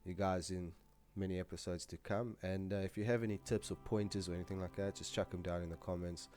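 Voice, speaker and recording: A man's voice: sits low, fast, faint music behind. A man with a nasal, stuffed-up voice, casually talking to viewers to close out a gaming video. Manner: relaxed, chatty, loose with fillers. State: stuffed-up, under the weather. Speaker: gaming channel host.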